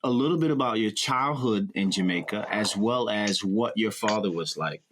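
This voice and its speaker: A man's voice: fairly low pitch, normal speed, background noise. A man hosting a podcast, interviewing a guest in an easygoing, encouraging way. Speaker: podcast host. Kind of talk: conversational interview.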